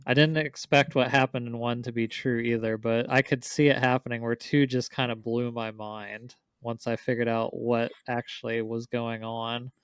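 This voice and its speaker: A man's voice: mid-range voice, flat delivery, normal speed, few pauses, smooth, no room sound. A man co-hosting a casual group discussion. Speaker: podcast co-host.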